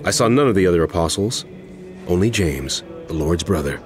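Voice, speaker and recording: An actor playing an impassioned apostle in a dramatized audio Bible, speaking in a solemn, emphatic, oratorical way. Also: male voice, low-pitched, expressive, brisk. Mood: earnest recounting. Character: impassioned apostle writing a stern, earnest letter. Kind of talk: dramatized scripture reading over music. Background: background music.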